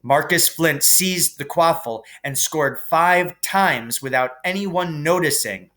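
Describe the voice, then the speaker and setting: A man reading a children's novel aloud as expressive narration. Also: a little high, takes it slowly. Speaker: reader.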